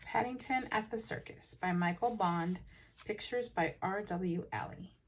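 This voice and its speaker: A woman reading a children's picture book aloud as a teacher, with animated, dramatic emphasis. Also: lowish voice, measured pace, a little hiss or hum, low-quality recording. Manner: animated, dramatic emphasis with hushed asides to listeners.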